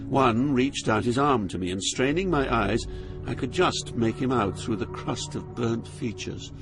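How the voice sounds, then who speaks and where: A man playing a poet who narrates his journey through hell in an audio drama, grave and deliberate. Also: medium pitch, lightly acted, poor audio. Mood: grave awe. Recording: music behind the narration.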